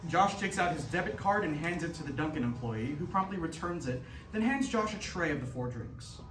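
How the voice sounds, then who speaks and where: A man narrates scripted stage directions at a staged reading. His voice is high and swings freely in pitch. The room echoes around him. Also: expressive, fairly quick. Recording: roomy, distant stage recording.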